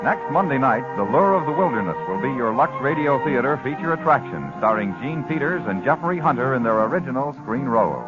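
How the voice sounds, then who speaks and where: A man's voice: medium pitch, animated, brisk, hardly any pauses. A man announcing formally and dramatically in the manner of 1950s American network radio. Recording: dull 1950s radio recording with music. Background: theme music.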